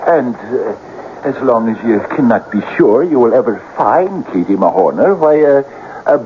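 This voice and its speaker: An excitable, wily male sidekick played by an actor in old radio drama style, coaxing and dramatic. His pitch swings widely, on a muffled recording with some hiss. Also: moderate pace, lightly acted. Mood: persuasive. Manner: theatrical, cajoling, dramatic. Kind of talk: 1970s radio drama acting. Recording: dull, noisy old radio broadcast recording.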